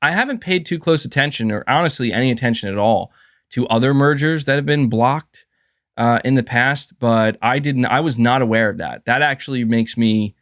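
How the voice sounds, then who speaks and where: A man's voice: mid-range voice, a bit bright. A man hosting a livestream in casual, chatty commentary, who often chuckles and says "dude" and "man". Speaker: livestream host.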